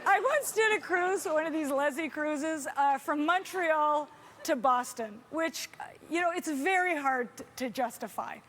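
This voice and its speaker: A woman's voice: high-pitched, animated, measured pace, a bit bright, a faint crowd, a little hiss or hum. A young woman from Canada with Hungarian as her first language, doing a chatty, self-deprecating stand-up set full of fillers and restarts on a festival stage. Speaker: stand-up comedian.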